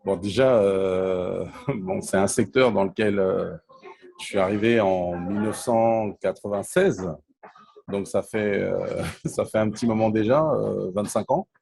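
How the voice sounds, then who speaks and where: A man's voice: on the low side, a little slow, vocal fry, a little mellow. A man from Congo in his forties, answering interview questions in a hesitant way, with frequent fillers and restarts. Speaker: interview guest, telecom entrepreneur.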